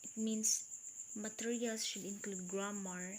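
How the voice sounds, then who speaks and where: A woman teacher with a Filipino accent and Cebuano as her first language, reading points from slides in a recorded video lecture, slow and deliberate. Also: mid-range voice, steady tone, a little hiss or hum. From Philippines.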